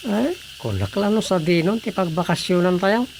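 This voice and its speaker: A man's voice: high-pitched, very animated, moderate pace, vocal fry, slight background noise, exaggerated voice. A man with a Filipino accent acting an easygoing husband in a radio drama. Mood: conversational.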